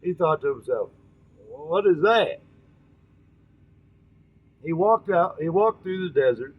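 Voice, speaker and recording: A man with a somewhat high voice tells a story in a homespun, informal style. He talks straight to his listeners, often stumbling and correcting himself as he goes. The recording is dull and lo-fi. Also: expressive, breathy edge. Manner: halting, often repeats and corrects words; folksy, addresses listeners directly. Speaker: storytelling host.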